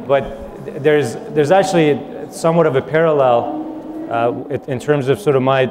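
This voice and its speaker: A man's voice: mid-range voice, steady tone, moderate pace. Young male panel guest, from Iran, fluent but full of uh's, faint room hum. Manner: fluent, frequent uh and word repeats. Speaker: panel guest, company founder. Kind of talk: live panel answer.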